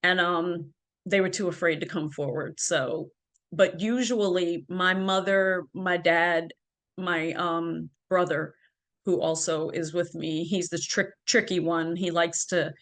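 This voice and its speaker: A woman's voice: lowish voice, fairly even intonation, takes it slowly, fairly bright tone. A woman guest telling her personal story in an interview, earnest and full of ums and restarts, on a video call from home. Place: home, by a ring light.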